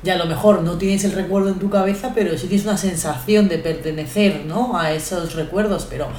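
A young woman with a Spanish accent from Spain, talking in a relaxed, thoughtful way as a podcast guest, with many pauses and fillers. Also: on the low side, reverberant, slight background noise. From Ecuador, raised in Spain. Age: young adult. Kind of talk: casual podcast conversation.

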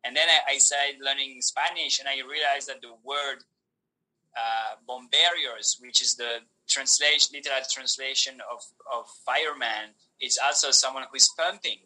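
A man whose first language is Polish, talking as a podcast guest in an animated way, with many restarts and fillers. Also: medium pitch, normal speed, poor audio. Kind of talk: casual conversation.

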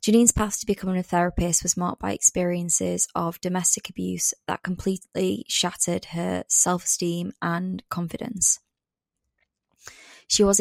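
A woman's voice: lowish voice, gravelly, no room sound, clean studio sound. A woman hosting a podcast, reading a scripted introduction and then chatting in a friendly way with her guest. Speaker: podcast host. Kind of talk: read intro then casual interview.

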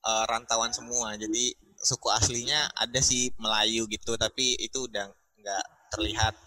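A young man in casual chat, loose and slangy, pausing on fillers as he talks. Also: mid-range voice, rough recording. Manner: relaxed slangy talk with fillers. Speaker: panel guest.

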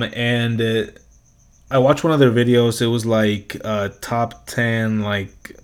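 A young man hosting a solo podcast, talking in a casual, rambling way, swearing freely and laughing often. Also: mid-range voice. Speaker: podcast host.

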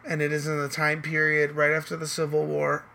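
Hoarse man rambling on a podcast. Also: on the high side, monotone, a little fast, pushed and bright, a little hiss or hum. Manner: loose, rambling, swears casually. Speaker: podcast host. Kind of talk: casual chat.

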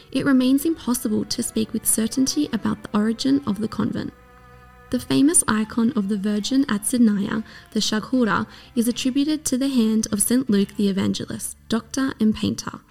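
A woman reading a scripted documentary narration in a measured, even way. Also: fairly high pitch, vocal fry.

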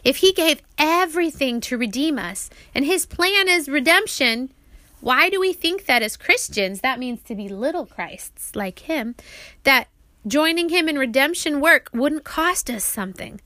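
Female author-narrator, high and bright, teacherly read-aloud of a religious children's book, prompting kids with questions, dry recording. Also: animated, a little slow, no echo. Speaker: author reading her audiobook aloud to her children.

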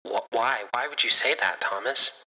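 Casting: actor for anxious loyal male friend in audio fiction; piercingly forceful, stammering, earnest, heard in long reverberation. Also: medium pitch, moderate pace. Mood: worried.

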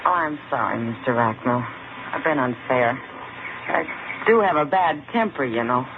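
An American actress playing a feisty, quick-tempered, wry frontier woman in a 1950s radio western. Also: very deep voice, animated. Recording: tinny, noisy 1950s radio broadcast recording.

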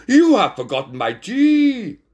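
Guy doing a posh bossy lady cat in a kids story, shrieky high and loud. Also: huge pitch swings, unhurried, full character voice. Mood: demanding. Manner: bellowing, imperious. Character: haughty, shrill posh lady cat customers.

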